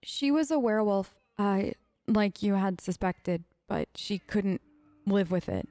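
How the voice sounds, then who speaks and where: A woman's voice: mid-range voice, expressive, measured pace, mellow, no room sound, soft background music. A woman doing casual, earnest role-play commentary as a let's play host. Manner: informal, earnest, empathetic.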